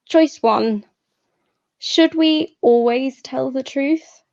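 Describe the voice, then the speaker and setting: A young woman speaks as a poet, sharing a personal talk and verse. She takes her time, pausing between short phrases. The sound is dry and a little dull, like muffled online audio. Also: sits high, vocal fry, a little mellow, dry recording. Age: young adult. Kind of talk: personal talk and poetry reading.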